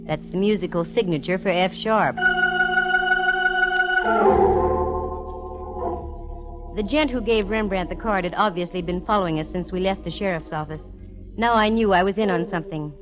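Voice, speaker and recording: A woman's voice: fairly low pitch, a little fast, natural voice, poor audio. An actress playing a sassy, wisecracking female private eye, with wry, punchy delivery in 1940s radio style. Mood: alert, intrigued. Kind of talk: 1940s radio detective acting and narration. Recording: vintage radio broadcast with music behind.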